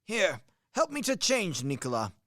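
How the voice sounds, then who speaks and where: A man's voice: high voice, huge pitch swings, moderate pace, a bit bright, full character voice. A man acting a blustering, genial old army major in a radio play, with a hearty, theatrical manner. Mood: bemused, hearty.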